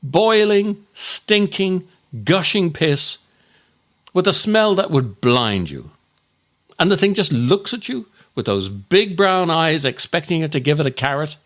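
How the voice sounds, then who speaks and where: A man delivering a scripted comic monologue as a podcast host, in a grumpy, exasperated, mock-appalled and deliberate way. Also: fairly high pitch, animated, fairly bright tone.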